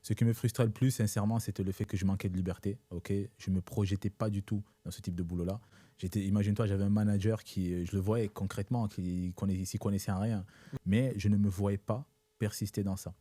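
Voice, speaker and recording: A young man with a low, mellow voice talks through his story in a steady, measured way, often checking in with "ok?" as he goes. French is not his first language. The sound is close and dry. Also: no room sound. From born in Italy, raised in Africa. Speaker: guest entrepreneur. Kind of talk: casual interview talk.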